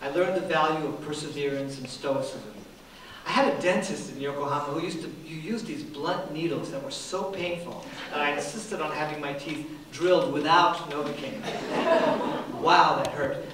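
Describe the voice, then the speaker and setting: A man's voice: fairly high pitch, moderate pace, slight background noise. Middle-aged man reading in a big echoey hall. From Japan. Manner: dry, self-deprecating reading with soft laughs. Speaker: author reading from his book. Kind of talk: reading aloud from a memoir. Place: large public hall. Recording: very echoey hall from far off, faint ambience.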